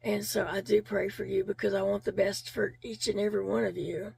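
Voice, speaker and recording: Raspy, gravelly-voiced woman vlogger. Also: mid-range voice, little pitch movement, a little fast, darkish tone, slight background noise. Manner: earnest, grateful, repeats words with fillers. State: losing her voice. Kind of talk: casual heartfelt chat to viewers.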